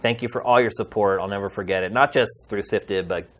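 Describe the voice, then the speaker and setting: A man's voice: on the low side, a little fast. A man hosting a games podcast in a studio, talking in a heartfelt, pleading, conversational way. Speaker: podcast host and games journalist. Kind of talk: earnest podcast sign-off.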